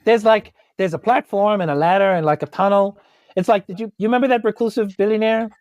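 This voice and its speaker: Kiwi bloke doing casual rpg improv, polite and halting, very dry sound. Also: male voice, high voice, a little mellow, no echo, highs cut off. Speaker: tabletop game player. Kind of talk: casual improvised role-play.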